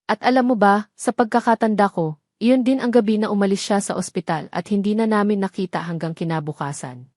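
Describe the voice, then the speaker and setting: A gentle elderly head nurse in an audio story, voiced by a woman narrator. She speaks softly and with sympathy, as if confiding something. The recording is close and dry. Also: medium pitch, brisk, no room sound, natural voice. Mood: hushed, sorrowful. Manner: soft-spoken, caring, confiding.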